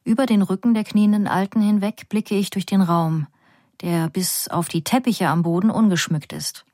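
A woman narrating a first-person story in a calm, measured, vivid way. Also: mid-range voice, no echo, studio quality. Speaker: audiobook narrator.

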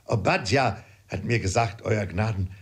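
A man doing a humble, halting manservant, husky. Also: on the low side, full character voice. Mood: apologetic. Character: simple, eager, deferential male servant. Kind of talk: audiobook character voice.

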